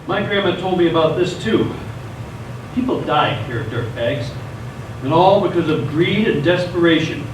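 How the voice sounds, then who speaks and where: A man's voice: on the high side, animated, normal speed, natural voice. A man playing a cocky, bruised teenage livestreamer in a radio play, addressing his viewers with showy, slangy bravado. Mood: narrating to viewers. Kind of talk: radio theatre acting. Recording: echoey stage, distant mic, noisy.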